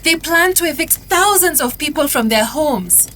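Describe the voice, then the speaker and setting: A woman playing a grave, defiant whistleblower on the run in an earnest, deliberate motion comic drama. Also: high voice, animated, a bit bright, a little hiss or hum. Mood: urgent, concerned.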